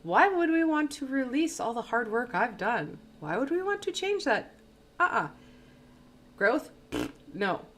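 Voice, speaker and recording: A woman putting on a shrill, stubborn inner ego. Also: big pitch swings, measured pace, fairly bright tone, heavily acted. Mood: protesting. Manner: shrill, dismissive protesting.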